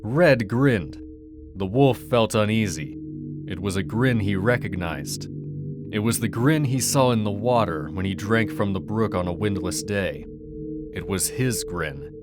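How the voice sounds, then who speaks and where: A man reading a dark fairy tale as a dramatic, scripted storyteller. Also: on the low side, expressive, a little slow. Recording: music behind the voice.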